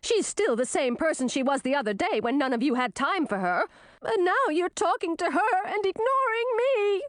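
A woman's voice: very high-pitched, big pitch swings, normal speed, no room sound, clean studio sound, voice pushed up. A woman voicing a vain, jealous young princess who speaks in an indignant, dramatic way. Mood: wounded. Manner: indignant, spluttering, blurting.

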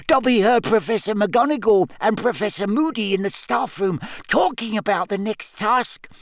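High squeaky little house elf. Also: male voice, dry recording, exaggerated voice. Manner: speaks of himself in the third person, deferential. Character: small eager male house elf servant, earnest, frantic. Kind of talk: audiobook character acting.

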